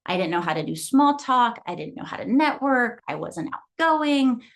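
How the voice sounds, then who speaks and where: A woman's voice: on the high side, very animated, normal speed, vocal fry. Earnest woman from Guatemala coaching fitness. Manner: earnest, storytelling. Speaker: fitness coach and gym owner. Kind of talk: motivational talk.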